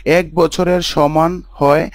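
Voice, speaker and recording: A man playing a pious husband and father in a scripted Islamic story, explaining teachings in a measured, didactic way. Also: on the high side, level tone, no echo. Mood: earnest, instructive.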